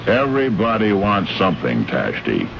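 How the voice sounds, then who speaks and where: An American actor playing a brash, greedy, condescending trade envoy from Earth in an old radio drama, talking in slangy, bullying fashion. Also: male voice, low voice, huge pitch swings, normal speed, vocal fry, exaggerated voice. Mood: pushy, menacing. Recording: noisy, muffled old radio recording.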